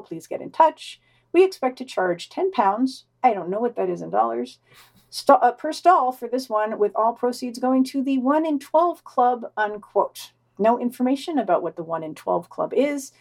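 A woman's voice: a little high, creaky, a little mellow. A woman co-hosting a podcast, reading news summaries and long quotes with wry, dry asides. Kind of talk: casual news roundup with read-out quotes.

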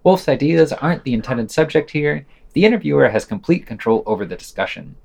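A man's voice: on the high side, animated, brisk, gravelly, darkish tone. A man presenting a podcast, reading scripted reviews with dry commentary. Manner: reads quotations aloud, marking quote and unquote.

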